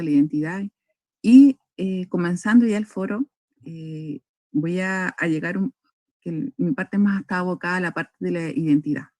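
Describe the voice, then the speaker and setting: Dry, measured young woman speaker. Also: on the low side, darkish tone, no room sound. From northern Chile. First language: Aymara. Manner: measured, with frequent hesitations and restarts. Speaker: invited speaker at a cultural forum. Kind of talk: formal talk.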